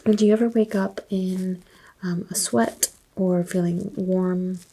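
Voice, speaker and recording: A soft-spoken woman doing an ASMR doctor roleplay, asking unhurried exam questions and slipping into whispers. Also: lowish voice, fairly even intonation, noisy background.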